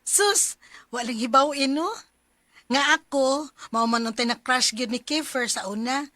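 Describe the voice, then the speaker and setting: An actress with a Filipino accent playing an expressive young best friend in a radio drama. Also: female voice, high voice, a little slow, no room sound. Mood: teasing, chatty.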